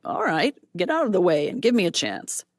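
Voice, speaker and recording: A woman's voice: fairly low pitch, very animated, fairly quick, a little mellow, no echo, clean studio sound. A woman voicing a quarrelsome male robber underling who complains and snaps back defensively. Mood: sullen.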